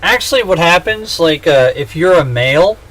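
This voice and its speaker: A man's voice: a little high, animated, normal speed, fairly bright tone, a little hiss or hum. A man in his thirties chatting casually on a gaming livestream, joking and rambling with self-mocking humor. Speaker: streamer.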